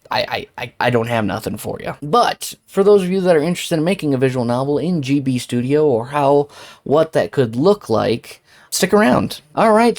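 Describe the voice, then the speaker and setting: A man hosting a casual software tutorial, speaking in an informal, conversational and somewhat hesitant way. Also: mid-range voice. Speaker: tutorial host.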